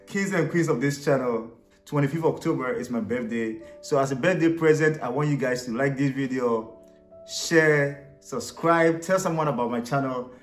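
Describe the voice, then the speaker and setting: A man's voice: medium pitch, normal speed, roomy sound, soft background music. A man hosting a street interview channel, upbeat and repeating his calls to like and subscribe. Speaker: YouTube host and street interviewer. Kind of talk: casual vlog intro and street interview questions. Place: small room indoors for intro.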